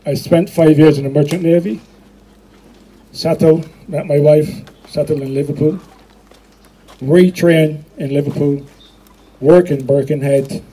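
An older man with a Barbadian accent gives a speech to a crowd outdoors. His voice is husky and mellow, fairly high for a man. He speaks into a handheld microphone amplified through a loudspeaker in a field. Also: steady tone, low-quality recording. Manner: addressing the crowd, with pauses and restarts. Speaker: rally speaker.

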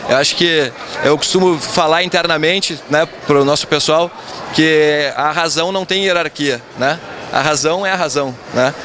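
A man in his thirties, a company's incoming president, speaking in a measured way with many filler tags in an event interview. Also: on the high side, bright, forceful tone, a little hiss or hum. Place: event stage backdrop, indoors. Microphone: handheld mic held by interviewer.

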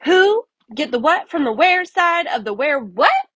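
Lady doing a little bunny boy from a picture book, high and perky, kinda clueless, super eager. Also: sing-song, a little slow, a bit bright, no echo, heavily acted. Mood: eager, puzzled. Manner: perky, puzzled questioning. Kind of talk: children's storybook read-aloud.

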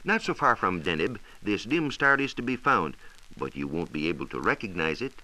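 An American man explaining science in a measured, precise, didactic way, in a scripted 1940s radio style. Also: low-pitched, sing-song, bright, forceful tone, poor audio. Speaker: science reporter explaining astronomy. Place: radio studio. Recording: hissy 1940s radio transcription disc.

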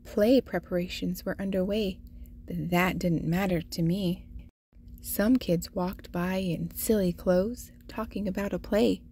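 Slow, husky woman reading a storybook. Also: on the low side. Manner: deliberate, animated storyteller addressing young children. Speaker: host reading picture books aloud for children. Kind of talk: expressive children's storybook read-aloud.

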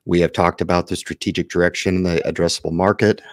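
A man hosting an investing panel over a video call, closing with measured, earnest remarks on a headset mic. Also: sits low, flat delivery, no echo, clean studio sound. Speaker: host. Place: home office, video call.

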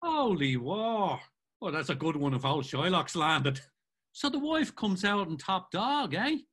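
Actor for a lively, bright-toned Irish pub gossip. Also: male voice, sits high, unhurried, slightly rough, exaggerated voice. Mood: jaunty. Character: gossiping Dublin drinker Joe, jaunty. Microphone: video call webcam.

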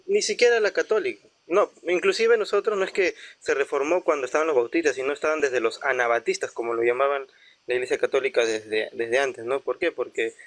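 A man's voice: medium pitch, fairly quick, no echo. A man from Peru, calling in to a religious debate, hesitant with many fillers. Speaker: caller. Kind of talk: call-in debate.

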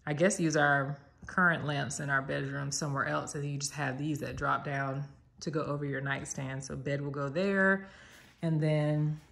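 A woman's voice: low-pitched, creaky. A young woman from the American South, chatty and enthusiastic, giving a walk-through tour of an empty house on her phone. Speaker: vlogger giving a home tour. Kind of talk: casual walk-through narration. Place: empty house with bare rooms, indoors. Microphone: phone camera held while walking. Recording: echoey empty rooms.

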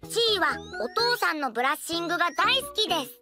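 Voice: very high-pitched, big pitch swings, fast. An actor playing an earnest, fond little boy who narrates a children's cartoon in a careful, childlike way. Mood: fond, proud. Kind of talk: children's cartoon narration, diary read aloud. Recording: background music over the voice.

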